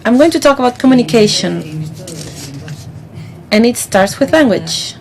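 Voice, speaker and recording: A woman simultaneously interpreting a conference talk into English, pausing mid-phrase with fillers as she catches up. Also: fairly high pitch, lively intonation.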